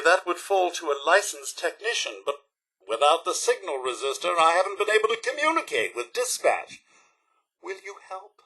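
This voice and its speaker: A man playing a polite, fussy robot butler in a video game, with a British accent, a raspy voice and prim, theatrical, upbeat manners. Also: high-pitched, pushed and bright, exaggerated voice, rough recording. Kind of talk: video game character acting.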